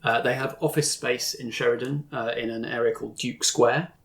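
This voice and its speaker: A man's voice: medium pitch, steady tone, moderate pace. A man narrating a tabletop roleplaying game as game master, explaining things in an informal way.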